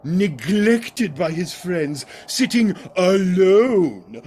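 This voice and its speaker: An actor playing a solemn, probing spirit guide in a radio play, speaking deliberately and theatrically. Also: male voice, high-pitched, sing-song, darkish tone, slight background noise, exaggerated voice. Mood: solemn, guiding. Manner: slow, deliberate, questioning. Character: solemn, probing male ghostly guide through past memories. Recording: music behind the voice.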